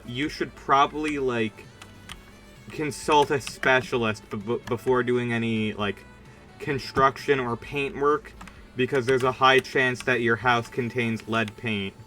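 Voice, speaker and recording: A streamer with a bright, forward voice and middling pitch talks off the cuff on a livestream. The delivery is informal and rambling, with emphatic stresses. Faint music plays behind the voice. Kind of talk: casual livestream chat while gaming.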